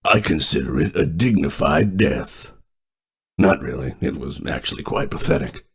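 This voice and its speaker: A man's voice: very deep voice, expressive, normal speed, slightly rough, exaggerated voice. A man voicing a sardonic, sinister animatronic sage in a horror game, dignified and scornful. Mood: cold scorn.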